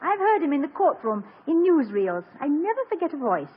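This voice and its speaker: A refined, gracious wife with a high, lively, breathy voice. She speaks politely and is gently inquisitive. The sound is thin and tinny, like an old radio broadcast. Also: a little fast. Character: refined, perceptive wife of a college president. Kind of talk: 1950s radio drama acting.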